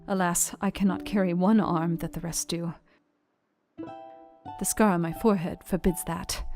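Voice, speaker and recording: A brave young Victorian wife played by a woman confides in her journal in a radio play. Her mid-pitched voice is heartfelt and old-fashioned in phrasing, with soft guitar underneath. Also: lightly acted. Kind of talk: dramatized diary narration in a radio play.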